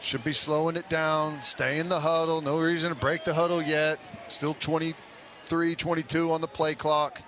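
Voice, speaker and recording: A man's voice: a little high, flat delivery, moderate pace, a bit bright. A man giving conversational analysis of each play during a live football radio broadcast. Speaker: radio color commentator. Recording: noisy stadium broadcast.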